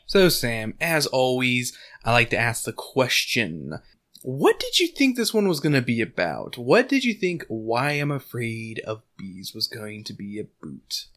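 A slightly hoarse man with a bright, edgy tone hosts a casual book podcast. He rambles, leans on fillers and laughs often, close on a desk microphone at home. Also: mid-range voice, sing-song, a little slow. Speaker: podcast host. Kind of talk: casual chat and book recap. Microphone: desk condenser mic.

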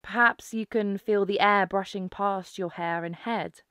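Slow, smooth-voiced woman guiding meditation. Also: medium pitch, no echo, clean studio sound. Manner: calm, instructive, unhurried with pauses. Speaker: guided meditation instructor. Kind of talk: guided mindfulness meditation narration.

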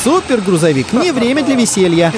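An expressive children's cartoon narrator who talks to the characters with exclamations and urging, recorded with music behind. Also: medium pitch, fairly quick.

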